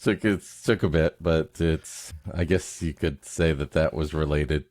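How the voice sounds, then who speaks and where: A man's voice: extremely low pitch, fairly even intonation, measured pace, darkish tone, no echo. A man hosting a podcast, trading wry, joking, casual banter. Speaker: podcast host.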